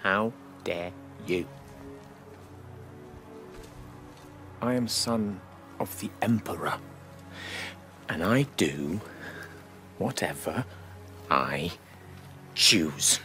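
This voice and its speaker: A man's voice: low voice, lively intonation, slow, long pauses, pushed and bright, soft background music, exaggerated voice. A man playing an arrogant, cruel young Roman prince in a video game, with a pompous, mockingly polite manner. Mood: imperious anger. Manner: pompous, sneering, theatrically polite. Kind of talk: video game cutscene acting.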